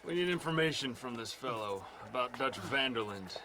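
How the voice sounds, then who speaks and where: A man playing a brisk, authoritative government official, curt and commanding, in a video game cutscene. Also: medium pitch, animated, close to an everyday voice. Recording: loud background noise.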